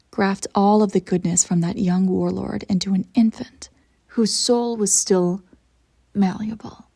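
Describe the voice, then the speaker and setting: Woman playing a regal evil empress, low-lit and creaky. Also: dark tone. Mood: wry, deliberate. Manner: formal, measured, arch diction with breathy near-whispered drops. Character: centuries-old evil empress, regal, cold, smugly possessive. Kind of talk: scripted audio roleplay monologue, intimate direct address.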